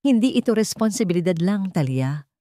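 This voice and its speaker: A woman's voice: mid-range voice, expressive, brisk, warm tone, no room sound, clean studio sound, lightly acted. A woman with a Filipino accent voicing an earnest, firm, wealthy young father in a dramatic story. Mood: tender, earnest.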